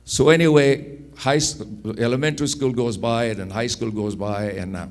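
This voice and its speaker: A man in his sixties from Afghanistan with an Afghan accent, telling personal stories in an unhurried, anecdotal way, repeating phrases for emphasis. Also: medium pitch, fairly even intonation. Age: 60s. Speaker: speaker telling his life story. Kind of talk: personal storytelling.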